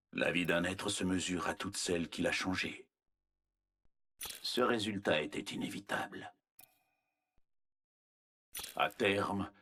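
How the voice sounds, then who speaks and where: Deep, husky villainous scientist. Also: male voice, sharp tone, exaggerated voice. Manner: slow, measured, condescending, clinical. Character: cold, arrogant, menacing male scientist villain, middle-aged. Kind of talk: scripted video game character voice lines.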